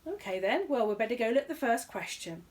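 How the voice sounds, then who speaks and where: A woman's voice: fairly high pitch, very animated, fast talker, a little mellow, voice pushed up. Storybook quiz compère, read by a woman: male, showy announcer delivery, strained patience, easily exasperated. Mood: showy announcing. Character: strained, cross male quiz show master of ceremonies.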